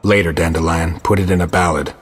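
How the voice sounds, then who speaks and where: Game hero, male: a blunt, dry monster hunter, extremely low and heavily rasping. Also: a little fast, no room sound. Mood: dry, terse. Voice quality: raspy, gravelly growl. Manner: terse, deadpan, blunt. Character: gruff, dry, weary monster hunter, adult man. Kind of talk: video game voice acting.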